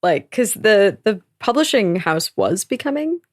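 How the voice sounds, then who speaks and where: A woman's voice: medium pitch, big pitch swings, unhurried, dry recording, studio quality. A woman co-hosting a book podcast, thoughtfully building on the others' points in casual conversation. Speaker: podcast co-host.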